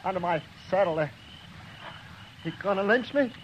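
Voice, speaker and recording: A terrified whining outlaw. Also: male voice, sits high, animated, moderate pace. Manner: panicky, pleading, whining. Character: terrified weaselly male outlaw, begging. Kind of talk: 1950s radio drama acting. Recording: noisy lo-fi 1950s radio recording.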